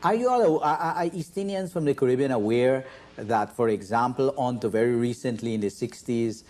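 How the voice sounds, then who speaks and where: A man's voice: medium pitch, moderate pace, a little mellow. A man hosting a panel discussion, asking long, probing questions and often restarting his sentences. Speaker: host and moderator.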